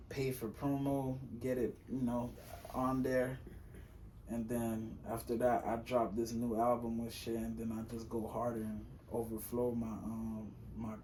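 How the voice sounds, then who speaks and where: A young man who raps and sings, talking casually on a podcast in a loose, rambling way, full of slang and fillers. His voice is on the high side. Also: flat delivery, measured pace, a little mellow, recorded from a distance, slight background noise, poor audio. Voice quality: high-pitched voice. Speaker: rapper and singer guest.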